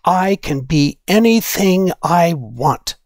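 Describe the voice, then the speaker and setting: A man's voice: on the high side, expressive, slow pace, darkish tone, no room sound, clean studio sound, voice pushed up. A man voicing an earnest, imaginative young schoolgirl in a storybook, with a childlike manner. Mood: determined.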